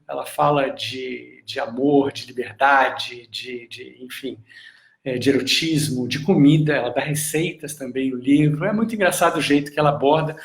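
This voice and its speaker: A man who teaches breathing and meditation tells a story at a relaxed pace in a live stream, often pausing on fillers and restarting his sentences. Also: mid-range voice. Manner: hesitant, many fillers and restarts. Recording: echoey room.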